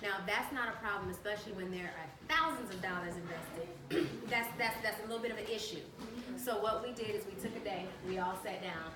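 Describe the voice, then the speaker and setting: Brisk woman panelist, distant echoey mic. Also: mid-range voice. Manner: brisk, matter-of-fact storytelling. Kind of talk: panel discussion. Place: event room. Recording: echoey room, distant mic, background noise.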